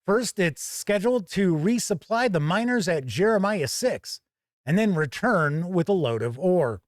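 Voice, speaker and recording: Male review host, slightly high voice, folksy and measured, emphatic phrasing, close dry studio sound. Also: expressive, dry recording. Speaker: host and reviewer of a tabletop gaming channel. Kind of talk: conversational product review to camera.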